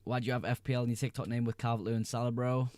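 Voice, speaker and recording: A man's voice: mid-range voice, level tone, racing, a little mellow, no room sound. A young man hosting a casual livestream, reading viewers' chat messages aloud and answering them in a laid-back way. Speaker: livestream host.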